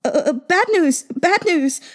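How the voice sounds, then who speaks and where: A woman voicing a panicked male household servant in an audiobook story, stammering and shouting frantically. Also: very high voice, expressive, measured pace, pitched above the performer's own voice.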